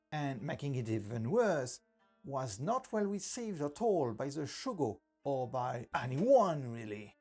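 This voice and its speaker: A man's voice: medium pitch, a little mellow. A man from France with a French accent narrating a history video, with wry joking asides. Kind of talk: scripted narration.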